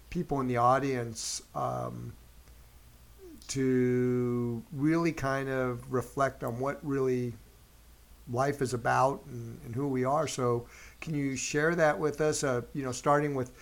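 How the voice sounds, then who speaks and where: A man hosting an interview, asking questions in a halting way with many stumbles and restarts. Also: medium pitch, little pitch movement, gravelly. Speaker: interviewer host. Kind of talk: casual interview chat.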